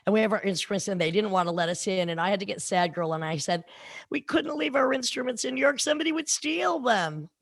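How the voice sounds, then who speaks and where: A woman in her sixties co-hosting a podcast, sharing touring tricks in a wry, teasing way with deadpan jokes. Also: medium pitch, quick pace, vocal fry, husky, no room sound. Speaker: podcast co-host, musician. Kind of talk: casual chat. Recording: dry close mic.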